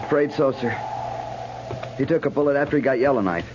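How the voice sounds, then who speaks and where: An actor playing an earnest, dutiful junior cavalry officer in a 1950s radio drama, deferential and military in manner. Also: male voice, medium pitch, a little fast, lightly acted. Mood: breathless, somber. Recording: very noisy, muffled 1950s radio recording.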